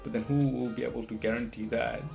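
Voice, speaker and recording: A man's voice: mid-range voice, fairly even intonation, fast talker, gravelly. A man whose first language is not English gives rambling test-prep advice, restarting often and checking in with "right?". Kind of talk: casual advice talk to camera. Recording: guitar music in the background.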